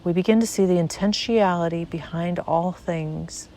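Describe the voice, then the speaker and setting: A woman narrating a spiritual guided meditation in a measured, deliberate way, pausing between short phrases. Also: on the low side, mellow.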